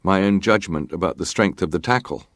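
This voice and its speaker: Deep-voiced, stoic ship's officer. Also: male voice, fairly quick, no echo, clean studio sound. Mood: stoic, terse. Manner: clipped, matter-of-fact, formal. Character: stoic, terse male ship's officer testifying as a survivor. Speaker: actor reading testimony. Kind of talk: dramatized reading of hearing testimony.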